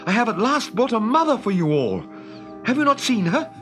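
Boy hero for a storybook, played by a narrator: brave, excitable, commanding, high and exclamatory. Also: unhurried, faint music behind, full character voice. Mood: excited, proud. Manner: exclamatory, earnest. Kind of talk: children's storybook reading.

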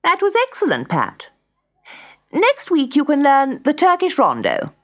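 Woman playing a brisk, high-pitched lady piano teacher. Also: wildly swooping pitch, exaggerated voice. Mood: brisk, encouraging.

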